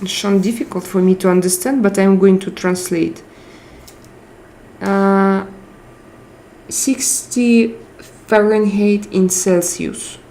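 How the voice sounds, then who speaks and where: Slow-talking Russian-accented woman streamer. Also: mid-range voice, steady tone, warm tone, slight background noise. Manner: slow, searching for English words with frequent hesitations. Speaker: live chat streamer. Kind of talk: casual live stream chat, reading viewer comments.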